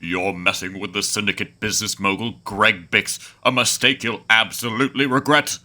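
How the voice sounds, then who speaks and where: A man's voice: fairly low pitch, steady tone, moderate pace, pushed and bright, slight background noise. A man playing a pompous, demanding, wealthy business mogul in a sci-fi audio drama, talking in a haughty, theatrical way. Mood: indignant, haughty.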